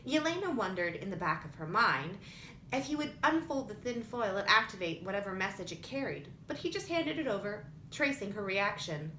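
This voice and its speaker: A woman's voice: very animated, normal speed, sharp tone. A woman reading scripted fiction aloud as an audiobook narrator. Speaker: audiobook reader. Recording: roomy echo with faint rumbling noise.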